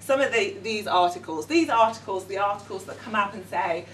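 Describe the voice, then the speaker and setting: A woman's voice: mid-range voice, fairly quick, a bit bright. A middle-aged woman with a London accent leading an educators' workshop, hesitant with many ums, friendly and self-deprecating. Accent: London English. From London, England. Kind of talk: conference workshop talk. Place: conference room with audience. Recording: echoey room, speaker far from mic, faint noise.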